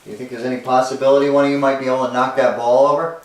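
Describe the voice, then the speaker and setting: A man's voice: mid-range voice, flat delivery, very fast. A man investigating a haunted house, calling out to spirits in a coaxing, conversational way. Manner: coaxing, speaks to spirits directly. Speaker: paranormal investigator. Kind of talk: casual ghost-hunting talk. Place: inside a house. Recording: echoey room from far off, faint noise.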